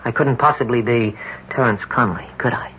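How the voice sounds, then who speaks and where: An actor playing a condemned young ex-surfer in a radio drama, earnest and intense, sometimes unsure of himself. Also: male voice, mid-range voice, brisk, a bit bright, a little hiss or hum, natural voice. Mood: insistent, confiding. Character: condemned young ex-surfer who sold his soul. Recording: dull, lo-fi 1970s radio recording.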